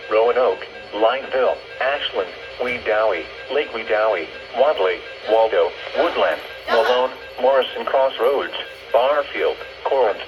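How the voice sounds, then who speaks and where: A synthetic American man's voice delivers scripted severe weather bulletins. His delivery is impersonal and formulaic, at a medium pitch. It comes through a lo-fi, muffled weather radio. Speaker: automated weather radio announcer. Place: radio speakers picked up by recording device. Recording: band-limited weather radio broadcast.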